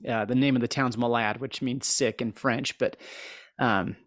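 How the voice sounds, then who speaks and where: A man with an American accent chats as a podcast host in a mid-pitched voice. He is folksy and self-deprecating, working through his thoughts aloud. The sound is close and dry. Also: fairly even intonation, moderate pace, dry recording. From southeast Idaho, United States. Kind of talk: casual interview chat.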